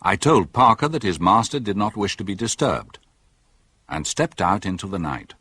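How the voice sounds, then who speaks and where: A composed doctor narrates a radio mystery. His low, husky voice is measured yet varied in tone, recorded dry and close. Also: expressive, pushed and bright, no echo, full character voice. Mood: composed, reflective. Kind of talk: scripted first-person narration.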